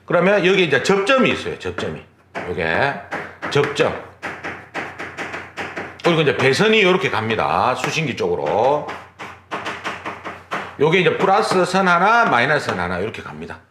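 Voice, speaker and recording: Lively, echoey male lecturer. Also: medium pitch, normal speed, pauses often, background noise. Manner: explains step by step, repeats key terms, checks with "그죠?". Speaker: exam prep instructor. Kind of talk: lecture, drawing on a board. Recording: echoey classroom.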